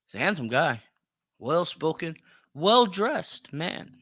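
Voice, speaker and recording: A man's voice: a little high, very animated, slow, pushed and bright, no room sound, studio quality. A man hosting a podcast of opinionated solo commentary, speaking emphatically with plenty of swearing and repeating himself for emphasis. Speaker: podcast host.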